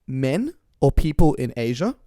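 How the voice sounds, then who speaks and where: Male podcast co-host, slightly raspy today, loose rambling chatter, dry studio sound. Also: mid-range voice, very animated, a little slow, dark tone, no echo. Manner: rambling, laughs often. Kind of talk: casual podcast chat.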